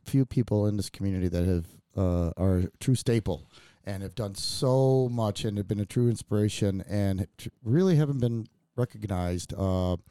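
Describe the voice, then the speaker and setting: A man's voice: deep voice, warm tone, smooth, no room sound, clean studio sound. A man hosting a casual podcast chat, joking around and rambling with lots of fillers and restarts. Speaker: podcast host. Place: studio.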